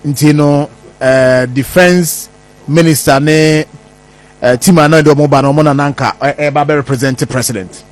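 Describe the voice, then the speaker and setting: A man with a Ghanaian accent interviewing on radio, halting and repeating words as he forms questions. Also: fairly high pitch, steady tone.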